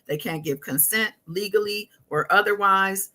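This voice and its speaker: A woman hosting a casual live stream, talking through viewer comments in a conversational, halting way as she thinks aloud. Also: medium pitch, pushed and bright.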